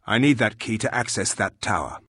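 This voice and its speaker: Fantasy game hero, male warrior: blunt, rude, husky, lively inflection. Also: on the low side, brisk, no echo, clean studio sound. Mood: stern, businesslike. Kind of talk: fantasy video game dialogue acting.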